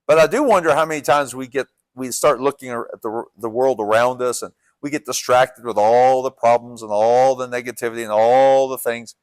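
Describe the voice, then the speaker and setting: A man's voice: mid-range voice, fairly quick, few pauses, no room sound, rough recording. A man preaching a sermon as pastor, with a strong voice, urging his listeners in earnest, repeating and restarting his phrases. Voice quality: strong coach-like voice. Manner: earnest, repetitive exhortation with restarts.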